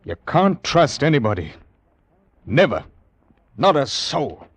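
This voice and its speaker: An actor playing an embittered, gruff captain of a convict ship in an old-time radio drama. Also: male voice, a little high, sing-song, slow pace, no room sound, heavily acted. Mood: haunted, brooding. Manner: gruff, haunted, irascible. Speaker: sea captain and narrator in a radio play. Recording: old radio recording with music behind.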